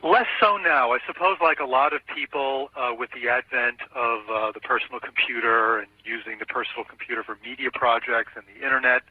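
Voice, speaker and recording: A man's voice: mid-range voice, husky. A middle-aged man being interviewed by telephone as a video editor and artist, explaining himself in a reflective, careful way. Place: on a telephone call. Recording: tinny phone line with room echo.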